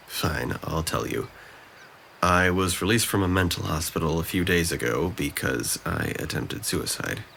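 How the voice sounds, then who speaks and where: A deep-voiced young man played by a male actor in an audio drama, with a lot of gravel in his throat. He is depressed and guarded, with a dry, sardonic way of talking. There is noticeable noise behind him. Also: measured pace, bright, forceful tone, exaggerated voice. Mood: downcast, confessing. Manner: dry, hesitant, self-deprecating.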